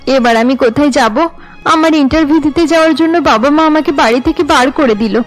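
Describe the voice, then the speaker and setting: Woman acting a plaintive, high-voiced cartoon daughter. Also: fairly quick, faint music behind, lightly acted. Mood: sad. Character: sad, anxious young woman, rejected daughter.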